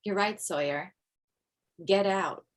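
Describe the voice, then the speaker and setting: A woman's voice: mid-range voice, slow pace, natural voice. Woman playing a dry, overwhelmed widowed mom. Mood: decisive, tired. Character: overwhelmed widowed mother, dry-witted and bossy. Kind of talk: table read of a comedy pilot script. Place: remote video call read. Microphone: video call.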